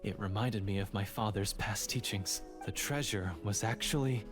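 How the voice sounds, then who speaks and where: A man's voice: fairly low pitch, normal speed, music in the background, natural voice. A man playing an earnest, hesitant young storyteller in a video game, with formal, theatrical phrasing. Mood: solemn, earnest.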